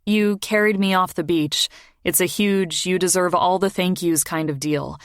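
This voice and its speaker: A woman voicing a bright, grateful young woman in audiobook dialogue, speaking in a lighter, raised, eager way. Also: on the low side, moderate pace, no echo, clean studio sound, pitched above the performer's own voice, close to an everyday voice.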